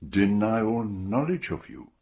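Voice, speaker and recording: Calm serious detective in a radio play, deep voice, real slow and formal, picks at every detail. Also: male voice, breathy edge, lo-fi sound, heavily acted. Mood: probing, calm. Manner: formal, deliberate questioning. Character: methodical, probing older male detective, calm and grave. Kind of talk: radio drama acting.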